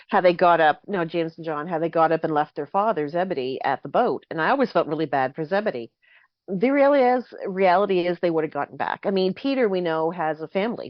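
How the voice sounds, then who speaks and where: A woman co-hosting a podcast, a pastor, slightly hoarse, explaining at length and correcting herself as she goes. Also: deep voice, no echo, lo-fi sound. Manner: explains at length, thinking aloud with frequent self-corrections. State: a little hoarse. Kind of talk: casual explanatory chat.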